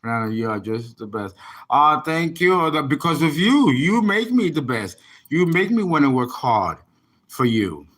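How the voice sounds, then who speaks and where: A man's voice: fairly high pitch, very animated, moderate pace, a bit bright. A man, an astrologer and tarot reader, chatting casually on a livestream and rambling as he reads viewer comments aloud.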